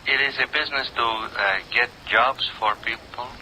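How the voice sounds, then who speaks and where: An actor playing a sly, wheedling Algerian employment agent with a halting, insinuating way of talking in a radio drama. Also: male voice, mid-range voice, bright, forceful tone, poor audio. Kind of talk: 1950s radio drama acting. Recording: hissy old radio recording.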